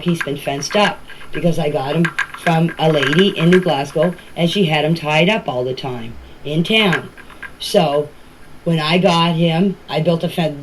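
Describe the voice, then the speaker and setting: An older woman with a Canadian Maritime accent speaks informally, answering questions and often repeating and rephrasing herself. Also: deep voice. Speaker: family member speaking about a case. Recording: noisy amateur voice clips with clicks.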